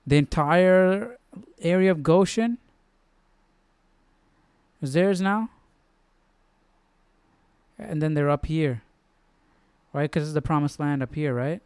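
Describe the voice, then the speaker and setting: A man hosting a Bible study stream in casual, slangy chat, halting as he thinks aloud. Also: a little high, darkish tone, clear, resonant tone, dry recording. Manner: informal, slangy, halting as he works things out.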